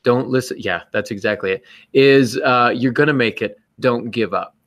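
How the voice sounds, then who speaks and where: A man's voice: mid-range voice, normal speed, a bit bright. A man hosting a podcast, chatting casually with a bantering, joking manner. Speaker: podcast host. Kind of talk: casual live podcast chat.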